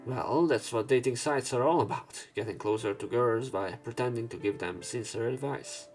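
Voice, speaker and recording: A man's voice: medium pitch, normal speed, a little mellow. A man reading visual novel text aloud as a let's play narrator, with casual asides. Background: visual novel game music and sound effects.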